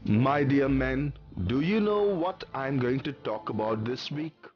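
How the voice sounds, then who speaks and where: A man voicing a wise, confident village mullah in a children's cartoon, addressing his congregation in a slow, measured way. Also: mid-range voice, expressive, muffled recording, soft background music, pitched above the performer's own voice, natural voice. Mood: measured, questioning.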